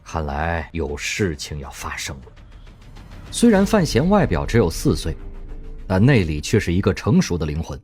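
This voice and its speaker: A man narrating an audio drama with expressive storytelling. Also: low voice, normal speed. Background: faint music.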